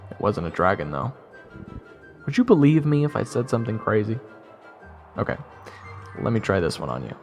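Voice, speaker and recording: A man's voice: on the low side, very animated, normal speed, pauses often, soft background music. A man playing a wry, sarcastic adventurer who narrates his own fantasy tale in a conversational way. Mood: wry, amazed. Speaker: narrator and protagonist. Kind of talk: first-person dramatic narration.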